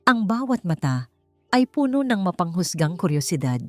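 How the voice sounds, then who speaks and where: A woman's voice: lowish voice, very animated, moderate pace, no echo, faint music behind. A woman narrator reading a scripted, emotional drama story in a storytelling style.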